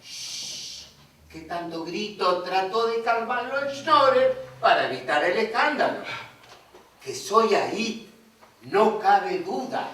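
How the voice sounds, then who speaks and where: A boastful, wisecracking radio contestant, played by a man. His voice is very high and unhurried, and it rings out from far off on an echoey stage. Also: animated, fairly bright tone, exaggerated voice. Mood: joking. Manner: wisecracking storyteller, punchy delivery. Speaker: stage actor in a radio-play scene. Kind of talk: comic stage acting as a 1950s radio performer. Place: theatre stage.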